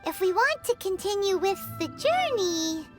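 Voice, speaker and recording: A woman voicing a tiny, bubbly, childlike fairy companion with a squeaky, perky delivery in a video game. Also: big pitch swings, unhurried, full character voice. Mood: determined, upbeat. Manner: perky, speaks of self in third person. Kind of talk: video game character voice acting. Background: tinkling game music.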